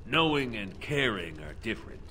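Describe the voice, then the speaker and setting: A man's voice: lowish voice, very animated, moderate pace, noisy background. A man playing a cynical, world-weary rogue in a fantasy video game, talking tersely and wryly. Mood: terse, resigned. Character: gruff, cynical male rogue in a thieving crew. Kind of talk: video game cutscene acting.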